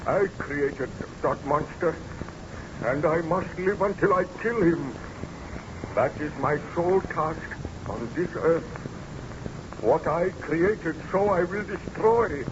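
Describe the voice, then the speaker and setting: A man's voice: on the high side, slow pace. An actor playing a tormented, exhausted baron obsessed with destroying his monster, in melodramatic, solemn radio drama style. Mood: weary resolve. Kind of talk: old-time radio drama acting. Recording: muffled, noisy old radio recording.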